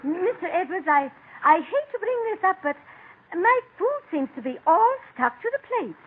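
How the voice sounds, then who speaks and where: A refined society lady in vintage radio comedy style. Her voice is high and very animated, with affected, genteel emphasis. It comes through a thin, noisy old recording. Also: moderate pace, phone-quality sound. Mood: politely hesitant. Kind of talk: 1940s radio comedy acting.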